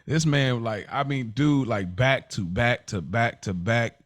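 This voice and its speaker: An American man hosting a casual podcast discussion, telling stories in an animated way, acting out quotes and repeating phrases for emphasis. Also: mid-range voice, measured pace, dry recording, clean studio sound. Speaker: podcast host.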